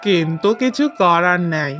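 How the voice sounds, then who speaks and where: A man's voice: sits high, expressive, normal speed, pushed and bright. A man playing a gloomy, resigned village farmer in a cartoon, drawling. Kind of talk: cartoon voice acting. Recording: music behind the voice.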